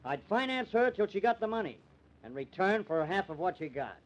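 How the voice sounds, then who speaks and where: An actor with an American accent playing a cool, cunning small-town lawyer with a killer's streak in an old-time radio drama. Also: male voice, high voice, very animated, fairly quick. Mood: desperate, gloating. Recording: dull old radio recording.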